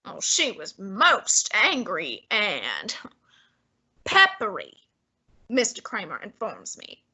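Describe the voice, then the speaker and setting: A young woman voicing a formal, fussy genius male detective in an old-time radio drama, speaking in a deliberate, exacting manner. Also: mid-range voice, wildly swooping pitch, bright, forceful tone, slightly rough, full character voice, low-quality recording. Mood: reasoning. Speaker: student actor.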